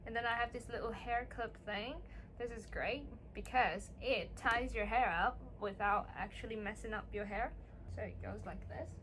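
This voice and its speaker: A woman's voice: a little high, steady tone, moderate pace, fairly bright tone, slight background noise. Field: chatty Cantonese-native young woman vlogger. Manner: chatty, self-deprecating, rambling asides. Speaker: beauty vlogger. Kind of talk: casual get-ready-with-me chat. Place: home room.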